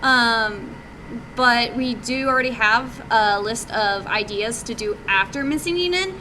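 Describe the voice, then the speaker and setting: A woman's voice: fairly high pitch, unhurried. A woman answering questions informally at a meetup as an indie game developer, casual and a little hesitant, thinking aloud. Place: indoor meetup presentation, live. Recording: noisy live room recording, steady hum.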